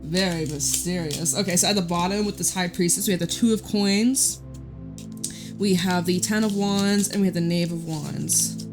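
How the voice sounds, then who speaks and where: A woman giving a casual tarot reading in a loud, chatty voice, rambling off into side stories. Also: fairly low pitch, moderate pace. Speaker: tarot reader. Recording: guitar music playing behind. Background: guitar music.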